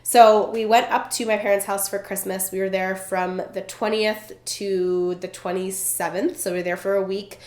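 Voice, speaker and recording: A woman's voice: mid-range voice, steady tone, normal speed, hardly any pauses, a little mellow. A young woman with a stuffed-up, congested voice chatting casually to camera as a vlogger, bubbly and full of fillers, from Vermont. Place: indoors at a relative's home. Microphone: camera in front while seated. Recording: roomy echo.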